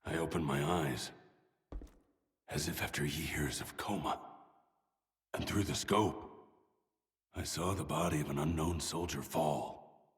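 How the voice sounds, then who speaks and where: A battle-worn commander in a video game, speaking from very deep in his register. He is solemn and reflective, weary but dignified, and his words ring out in a long, hall-like reverb. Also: a little slow, long pauses. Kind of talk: dramatic video game acting.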